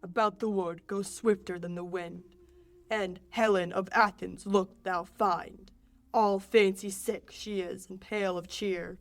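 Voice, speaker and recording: A teenage woman playing a stern, commanding fairy king, delivering verse imperiously in an amateur Shakespeare radio play. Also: medium pitch. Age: late teens.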